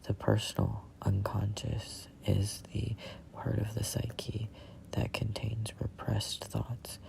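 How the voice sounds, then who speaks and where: A person in their twenties reading a book aloud as a whispered ASMR read-along, in halting, deliberate phrases. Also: medium pitch, flat delivery, gravelly, rough recording. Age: 20s. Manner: halting, deliberate phrasing with stumbles over words. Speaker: reader of a self-help book.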